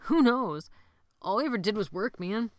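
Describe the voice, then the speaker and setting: A woman's voice: medium pitch, animated, moderate pace, no room sound, studio quality, pitched above the performer's own voice, natural voice. Woman doing a weary, sarcastic teenage boy. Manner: sarcastic, tired.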